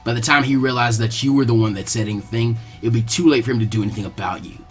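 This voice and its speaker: Stoic young male ninja for a story, voiced by a man: low, dry, coldly calculating, deadpan. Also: fast talker, faint music behind, natural voice. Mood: cold threat.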